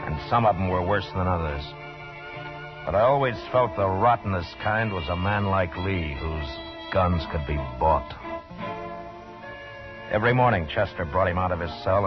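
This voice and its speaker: An American man playing a hard-bitten, weary frontier marshal in a 1950s radio western, terse, commanding and deadpan. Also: deep voice, close to an everyday voice. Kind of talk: 1950s radio western acting and first-person narration. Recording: dull vintage radio recording, music behind.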